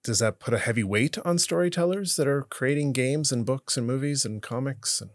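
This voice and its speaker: A man hosting a podcast, asking questions in a relaxed, conversational way. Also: mid-range voice, fairly quick, no echo, clean studio sound. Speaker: podcast host. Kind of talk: casual interview hosting.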